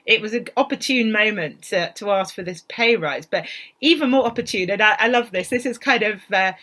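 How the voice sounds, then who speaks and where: A woman speaking as a podcast guest, telling history informally in an animated, chatty, wry way. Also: medium pitch, a little fast. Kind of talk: informal conversational storytelling about history.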